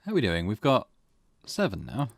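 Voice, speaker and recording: A man's voice: on the low side, huge pitch swings, brisk, vocal fry, a little hiss or hum. A man giving casual let's play commentary as a gaming host, musing aloud as he goes.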